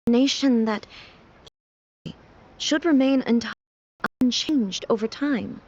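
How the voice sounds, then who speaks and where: Woman as a formal, high-voiced, solemn immortal ruler. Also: darkish tone, a little hiss or hum, close to an everyday voice. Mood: pensive, solemn. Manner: formal, measured, earnest, slightly stilted phrasing. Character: solemn, sheltered immortal ruler woman, earnest and naive about modern life. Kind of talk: video game voice acting. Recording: slightly dull game capture with music. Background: game background music.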